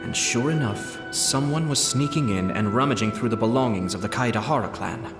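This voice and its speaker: A man playing a composed, calculating young nobleman in a video game, speaking in a measured, formal, deliberate way. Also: medium pitch, natural voice. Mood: measured, serious. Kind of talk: video game character dialogue. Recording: music behind the voice.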